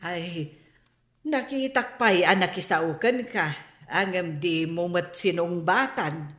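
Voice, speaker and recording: Slow, chiding elder mother. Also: fairly low pitch, fairly bright tone. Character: reproachful mother of a grown daughter, patient elder. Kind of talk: radio drama acting. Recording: roomy, echoing drama recording.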